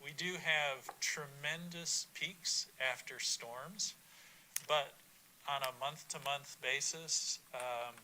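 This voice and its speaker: Bright-voiced man answering at a meeting. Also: fairly high pitch, takes it slowly, a little hiss or hum, poor audio. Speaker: city staff presenter. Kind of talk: public meeting response.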